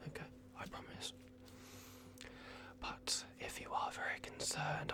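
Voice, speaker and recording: A man voicing a playful, fussy vampire doctor in an ASMR roleplay, murmuring halting reassurances in a breathy whisper. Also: mid-range voice. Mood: nervously reassuring. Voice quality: breathy whisper with gravelly creak.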